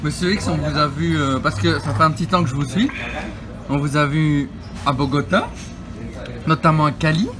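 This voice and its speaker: A male vlogger hosting a joking mock interview, teasing and playful, in a busy public place. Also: a little high. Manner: playful, teasing, quick to laugh.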